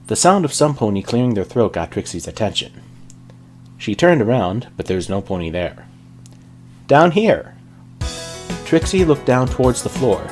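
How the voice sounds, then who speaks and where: A man's voice: fairly low pitch, a little mellow. A man reading a story aloud as an amateur narrator. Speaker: amateur fan fiction reader. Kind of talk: scripted storybook narration with character dialogue.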